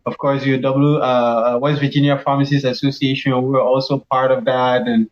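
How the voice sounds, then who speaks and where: A man's voice: medium pitch, little pitch movement, moderate pace, lo-fi sound. A middle-aged pharmacist from Cameroon, a guest in an interview, talks earnestly about his path with many restarts. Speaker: pharmacist and leadership coach, guest. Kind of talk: casual interview answers.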